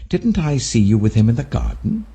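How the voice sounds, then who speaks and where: A British man voicing hostile, indignant officials with a husky voice and a forceful, accusatory manner in a scripture audiobook. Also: medium pitch, huge pitch swings, normal speed, voice pushed up. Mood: accusing.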